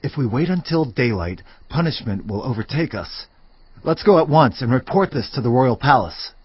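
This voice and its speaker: Desperate, animated outcast leper. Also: male voice, mid-range voice, moderate pace, slightly rough. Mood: urgent. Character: desperate starving leper, earnest and urgent. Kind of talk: dramatised audio Bible acting. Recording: slightly dull, muffled audio.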